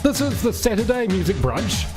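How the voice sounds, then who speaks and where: Kiwi guy doing radio dj links, really animated intonation, music playing under him. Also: high-pitched, moderate pace. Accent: New Zealand. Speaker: radio presenter. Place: radio studio.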